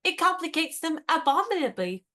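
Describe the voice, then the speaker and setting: An actress playing a spirited, competitive young woman in a radio play, brisk, teasing and confident. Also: extremely high pitch, very animated, bright, forceful tone, exaggerated voice.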